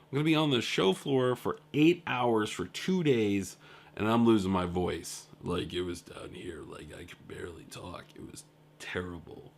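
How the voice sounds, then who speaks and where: A man's voice: on the low side, moderate pace, creaky. A young man telling a rambling, casual story about his trip in a video diary, full of fillers and asides. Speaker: indie game developer.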